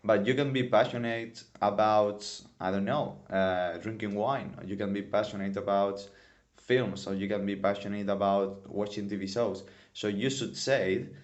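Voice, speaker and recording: A man's voice: lowish voice, fairly even intonation, moderate pace, dull audio. A man in his twenties from Spain, with a Spanish accent, teaching a casual English lesson and often repeating words for emphasis. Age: 20s. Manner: non-native phrasing, repeats words for emphasis.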